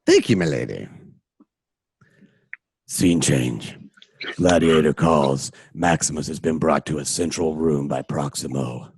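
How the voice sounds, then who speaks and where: A husky-voiced man narrating, reading out the stage directions of a drama script. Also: very deep voice, steady tone, unhurried, pauses often, a little mellow, no echo.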